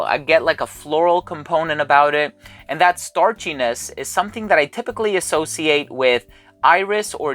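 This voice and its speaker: A man's voice: on the high side, fairly bright tone. Chatty man reviewing perfumes. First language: English. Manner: chatty, thinks aloud while smelling. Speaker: fragrance reviewer. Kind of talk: casual sponsored haul video.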